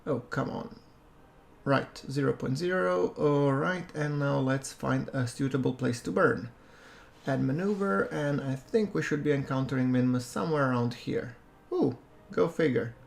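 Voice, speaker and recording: A man giving relaxed, chatty commentary over a space-game playthrough, with a soothing voice and self-deprecating asides. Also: medium pitch, normal speed, vocal fry. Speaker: gameplay commentator. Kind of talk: casual let's-play commentary.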